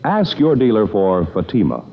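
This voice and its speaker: A man's voice: on the low side, wildly swooping pitch, normal speed. A man reading a commercial in 1950s radio announcer style. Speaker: advertising announcer. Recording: dull old radio recording, long reverb.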